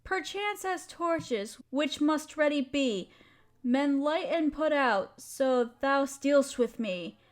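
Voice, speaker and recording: High-voiced, slow-paced affectionate wife played by a woman. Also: animated. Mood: tender recital. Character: affectionate, teasing wife sharing love poems with her partner. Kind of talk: intimate roleplay audio with poetry reading.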